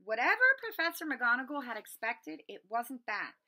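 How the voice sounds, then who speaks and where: A woman reading a children's story aloud in an expressive, dramatic storytelling manner. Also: on the high side, normal speed. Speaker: read-aloud narrator.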